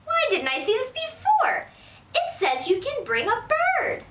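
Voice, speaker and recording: A woman reading aloud and voicing a kind, playful grandmother who drags out her teasing lines slowly. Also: extremely high pitch, huge pitch swings, fairly bright tone, pitched above the performer's own voice, full character voice. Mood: amused. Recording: roomy, from a distance.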